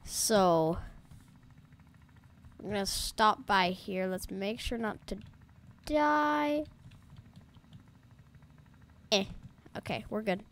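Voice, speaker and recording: Woman let's-play host, relaxed slow delivery, chatty and rambling, slightly off voice from allergies. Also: mid-range voice. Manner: chatty, rambling, talks to viewers as "guys". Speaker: gaming YouTuber. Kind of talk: casual let's-play commentary.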